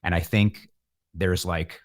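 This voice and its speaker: A man with a low-pitched voice talks in a reflective interview style. He is earnest and discursive, with a self-deprecating streak. The sound is dry, with very little room around it. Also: steady tone, slow pace, no room sound. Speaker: interview guest, musician.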